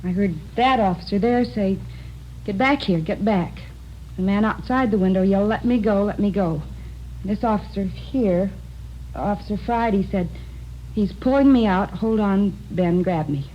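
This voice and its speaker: Actress as earnest stenographer on muffled old radio. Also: female voice, medium pitch, normal speed, slight background noise, natural voice. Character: earnest, precise female office stenographer testifying. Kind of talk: 1950s radio drama acting.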